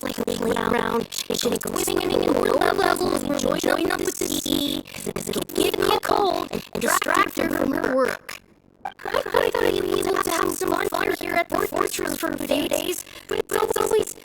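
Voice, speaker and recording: Sick little boy in a game, whiny n nervous, stammers, music loud underneath. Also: rumbling low, gravelly, full character voice, rough recording. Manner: hesitant, stammering, pleading. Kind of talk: video game voice acting.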